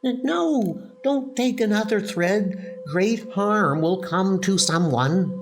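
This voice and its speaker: Man putting on an eerie, high-pitched warning voice. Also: expressive, slow, soft background music, full character voice. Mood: ominous warning.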